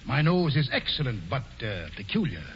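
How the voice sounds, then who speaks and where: An actor playing a pompous, scheming colonial governor, grandiose and sly in 1940s radio acting, on a muffled old broadcast. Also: male voice, medium pitch, very animated, moderate pace, a bit bright, slight background noise. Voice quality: grandiose, mock-genial, sly. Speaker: governor Stuyvesant.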